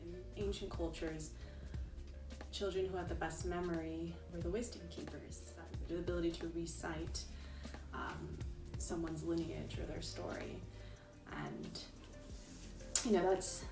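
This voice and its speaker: A young adult woman speaking thoughtfully about her tarot practice, with many ums and pauses. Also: lowish voice, animated, far from the mic. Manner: soft-spoken, thoughtful, many ums and you-knows. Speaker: tarot reader and interviewee. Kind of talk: reflective personal talk. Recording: roomy sound, voice set back from the mic, clear music behind.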